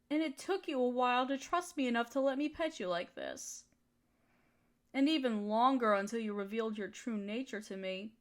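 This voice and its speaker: A woman's voice: high-pitched, lightly acted. A woman playing an affectionate, teasing girlfriend in an intimate comfort roleplay, soothing and cooing as she pampers her partner. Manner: cooing, soothing pet-talk. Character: affectionate, teasing, nurturing girlfriend of a fox spirit. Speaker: audio roleplay voice performer.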